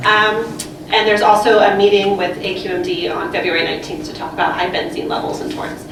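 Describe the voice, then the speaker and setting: A woman who founded a community group speaks in a friendly, upbeat way and says "um" often. Her voice has a middle pitch. She is heard from a distance in a very echoey room, with noise behind her. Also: monotone, a little fast. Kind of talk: public comment.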